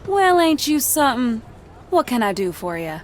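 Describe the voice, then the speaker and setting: A woman's voice: fairly high pitch, sing-song, moderate pace, a little hiss or hum. Saucy, lilting woman. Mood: teasing, flirtatious. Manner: teasing, familiar, folksy. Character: saucy, worldly, flirtatious Southern woman, self-assured. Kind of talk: dramatic video game dialogue.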